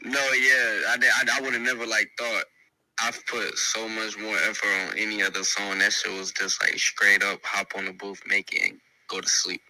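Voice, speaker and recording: A man's voice: fairly low pitch, little pitch movement, normal speed, bright, forceful tone, no echo, poor audio. A teenage male rapper from Venezuela answering interview questions in a laid-back, slangy way with mumbled restarts. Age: teens. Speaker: rapper guest.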